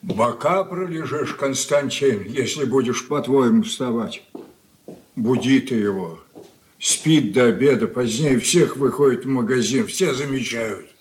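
A stern old merchant, played by a man in a Soviet radio play. He grumbles and reproaches in a gruff manner. His voice is of middling pitch. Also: a little slow, slight background noise, lightly acted. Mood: grumbling, scornful. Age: older. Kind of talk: Soviet radio drama acting.

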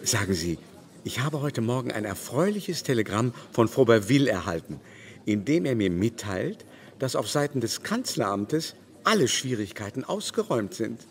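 An actor playing an effusive, mannered aristocratic baron in a German radio play, speaking with theatrical, gushing politeness. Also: male voice, mid-range voice, very animated, moderate pace. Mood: effusive, flattering.

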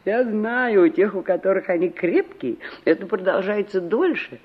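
An actress playing a middle-aged, complaining village aunt in a 1960s radio play, emphatic and full of rhetorical questions. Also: female voice, medium pitch, expressive, moderate pace, breathy edge. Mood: brooding. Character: exasperated village aunt fretting over her stubborn niece. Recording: dull vintage radio recording.

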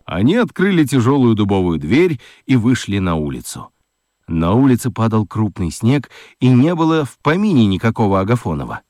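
A man narrating a children's radio play as an expressive, dramatic storyteller. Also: on the low side, moderate pace, dry recording, clean studio sound. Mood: lively narrating.

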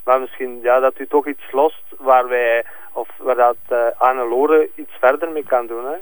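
A man playing a blunt, insinuating police questioner in a crime drama, with a Flemish accent and halting delivery. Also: medium pitch, steady tone, no room sound, lightly acted, poor audio. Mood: apologetic, probing.

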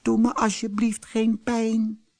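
A man's voice: high voice, monotone, slow, darkish tone, pitched above the performer's own voice. A man voicing a tiny living piece of wood from a storybook, pleading in a small, thin little voice. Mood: frightened pleading. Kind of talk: storybook character voice.

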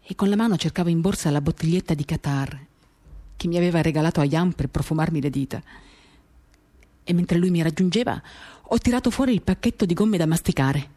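A woman giving a measured, intimate dramatic reading of first-person literary narration in short, paused phrases, accompanied by acoustic guitar. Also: low voice, lively intonation, dark tone. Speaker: reader of a novel.

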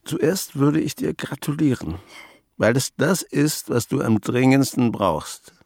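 A man's voice: medium pitch, lively intonation, unhurried, darkish tone, husky. An actor playing a grumpy old misanthropic grandfather in a German radio drama, with a sardonic, brooding, dramatic delivery. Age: older. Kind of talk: German radio drama acting, dialogue and inner monologue.

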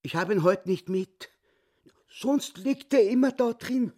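An actor playing a boastful, cunning little dwarf crook in a radio play, with a Viennese accent and a croaking, wheedling voice. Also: male voice, sits high, animated, a little slow, darkish tone. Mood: excited bragging. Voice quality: raspy, croaking. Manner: wheedling, bragging storyteller.

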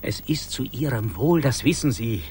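An actor playing a smug, condescending office colleague in a German radio drama, reasoning and lecturing in a declamatory way. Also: male voice, medium pitch, animated, moderate pace, darkish tone, slight background noise, close to an everyday voice. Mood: placating, calm.

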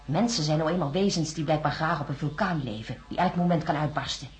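An actress playing a troubled, guarded astronaut scientist in a science fiction radio drama, articulate and insistent. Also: female voice, low-pitched, fast talker, faint music behind, natural voice. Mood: troubled, defiant.

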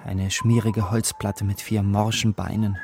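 An actor playing a bitter, dreamy poor youth, narrating in a brooding, intimate, gravelly way in a literary radio drama. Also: male voice, lowish voice, flat delivery, a little slow, background noise. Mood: matter-of-fact. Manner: brooding, intimate, sometimes whispering.

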